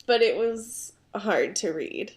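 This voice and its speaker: Female podcast co-host, mid-pitched voice, casual and self-mocking, slangy and sweary, joking through book talk. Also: animated, slow pace. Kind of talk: casual comedic book discussion chat.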